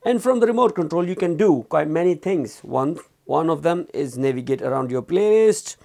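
A man's voice: on the high side, lively intonation, moderate pace, gravelly, dark tone. A man giving a casual, unscripted product demonstration as a reviewer, repeating and rephrasing as he explains. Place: small indoor room at a desk.

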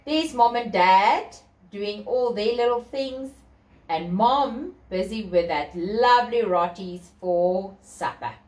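A woman's voice: medium pitch, slow, darkish tone. A middle-aged South African woman reading a picture book aloud to children, with theatrical, sing-song emphasis and chatty asides. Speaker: children's storyteller. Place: small bedroom, indoors. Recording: roomy echo from camera distance.

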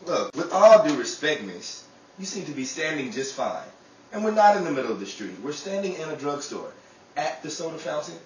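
A man's voice: a little high, big pitch swings, a little fast. A man playing a courteous, plain-spoken and mysterious stranger in an old-time radio drama. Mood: flustered, polite. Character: polite, mysterious stranger, calm and apologetic. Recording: roomy, distant mic, clear noise.

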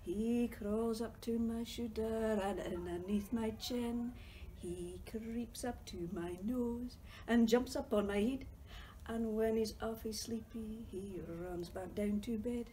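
A Scottish woman reads rhymes and sings to toddlers in a library corner, her voice lifting high and playful, then dropping to soft whispers. Her tone is warm and mellow, and she speaks slowly with long pauses. Faint room noise sits behind her. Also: low-quality recording. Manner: playful sing-song reading, drops to whispers. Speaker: library storytime leader. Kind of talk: reading a picture book and singing to young children.

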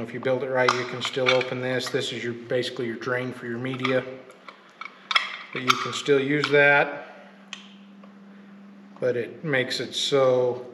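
A man hosting a casual workshop build video, talking loosely with lots of ums while he works. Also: mid-range voice. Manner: relaxed, rambling, many ums while working. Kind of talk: casual hands-on how-to talk. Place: garage workshop. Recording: echoey shop with loud background noise.

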